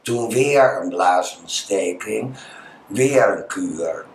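A Dutch man talking as an interview guest, telling personal stories conversationally and halting as he searches for words. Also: lowish voice, expressive. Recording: echoey, reverberant room.

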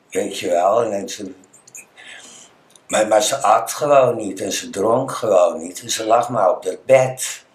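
A Dutch man talking as an interview guest, telling personal stories conversationally and halting as he searches for words. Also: fairly low pitch. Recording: echoey, reverberant room.

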